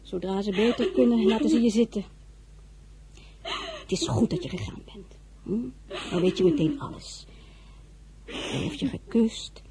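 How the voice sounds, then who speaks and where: A woman's voice: on the high side, lively intonation, unhurried, pauses often, warm tone. An actress playing a motherly older servant in a radio drama, fussing, soothing and repeating herself. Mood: consoling, world-weary. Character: motherly, fussing older female servant, protective and worldly-wise.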